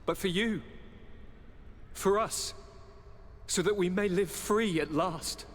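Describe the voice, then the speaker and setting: A man's voice: high voice, slow, a little hiss or hum. An actor playing a zealous, power-hungry young nobleman in a video game, theatrical and impassioned. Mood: impassioned, bitter. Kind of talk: dramatic video game cutscene acting. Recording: long hall reverb, faint ambience.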